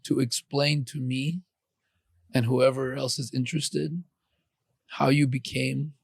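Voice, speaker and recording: A man's voice: medium pitch, level tone, takes it slowly, creaky, slightly rough, no echo. A man hosting a podcast interview, talking in a loose, encouraging way with lots of fillers and restarts. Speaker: podcast host. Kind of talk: casual interview.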